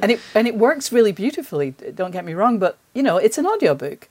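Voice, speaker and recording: A woman's voice: mid-range voice, big pitch swings, fast talker, a little mellow. A middle-aged woman hosting a conversational interview. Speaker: interview host.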